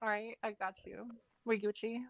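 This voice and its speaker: A woman's voice: medium pitch, flat delivery, moderate pace, vocal fry, dull audio. A woman reading a part in a casual group script read, with playful, slangy asides.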